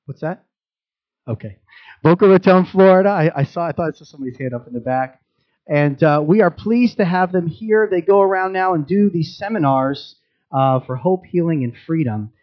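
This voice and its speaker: A man leads his Messianic congregation through announcements and a prayer. He talks quickly and casually, with fillers, in a somewhat high voice. The recording sounds dull and lo-fi. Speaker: congregation leader.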